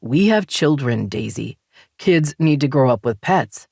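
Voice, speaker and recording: A defensive, sulky husband, read by a woman in a low, even voice. He sounds nervous and wounded rather than loud. The recording is close and dry. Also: measured pace, vocal fry, slightly rough, dry recording, heavily acted.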